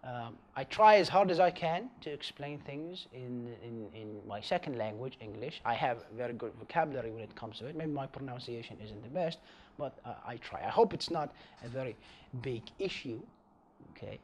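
Animated man with an Arabic accent. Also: medium pitch, moderate pace, slight background noise. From Bahrain. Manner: animated, emphatic, gestures while explaining. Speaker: science video host. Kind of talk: explainer to camera.

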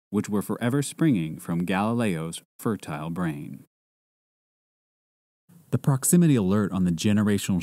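A man's voice: lowish voice, warm tone, dry recording. A man delivering scripted narration as a voice-over artist, close on the mic.

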